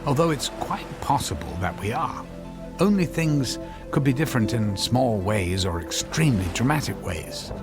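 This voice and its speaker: An actor playing an earnest, anxious physicist husband in a radio drama, explaining his invention in halting, stammering phrases. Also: mid-range voice, lively intonation, over music, lightly acted. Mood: earnest, explanatory.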